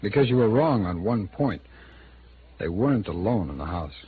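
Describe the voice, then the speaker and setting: A man's voice: lowish voice, very animated, moderate pace. Muffled vintage-radio male attorney, wry and evasive. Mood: hesitant, defensive. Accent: American. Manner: wry, hesitant, conversational. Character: evasive, worldly male small-town city attorney. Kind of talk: 1950s radio drama acting. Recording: muffled, noisy old radio drama recording.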